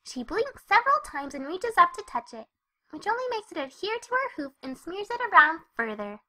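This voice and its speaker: A woman voicing a bubbly, excitable young female pony who narrates a story in a squeaky, girlish, gushing way. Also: normal speed, heavily acted. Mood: lively narrating. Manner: exuberant, gushing. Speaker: fan fiction reader. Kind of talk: animated first-person story narration.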